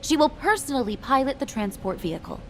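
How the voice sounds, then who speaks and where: An actress playing a composed, authoritative female military commander, speaking formally and in measured phrases for a game. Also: high voice, very animated, faint music behind. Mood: grave, composed. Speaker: military adjutant giving a briefing. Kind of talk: scripted game dialogue, mission briefing.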